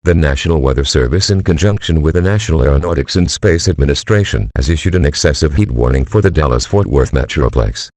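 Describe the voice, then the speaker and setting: A man's voice: rumbling low, animated, quick pace, hardly any pauses, a little mellow, dry recording. A male voice playing an emergency alert system announcer, reading a scripted warning in a formal, mechanical, solemn manner. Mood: impersonal, solemn.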